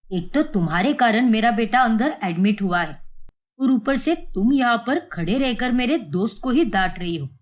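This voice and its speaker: A woman voicing an angry, stubborn young father who scolds and shouts back. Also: a little high, expressive, normal speed, close to an everyday voice.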